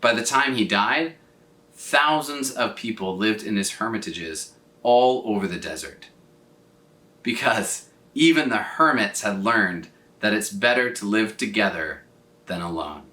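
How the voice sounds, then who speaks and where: A man narrates a story for children with very lively, varied intonation. His voice is bright and clear-cut, and he stretches words for dramatic effect. The recording sounds echoey, as if he is standing back from the microphone in a room. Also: lowish voice, measured pace. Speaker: storyteller reading aloud for children. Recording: roomy echo, distant from mic.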